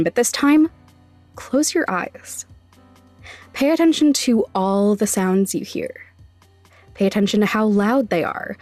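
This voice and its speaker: A woman hosting a podcast, giving scripted instructional narration with deliberate, emphatic step-by-step phrasing. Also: mid-range voice, no echo. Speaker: podcast host, sound designer.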